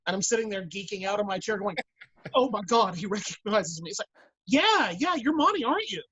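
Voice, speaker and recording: A husky-voiced woman talks casually and animatedly on an actors' panel. The sound is dry and a little dull, like lo-fi call audio. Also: medium pitch, animated, fairly quick, fairly bright tone, no echo.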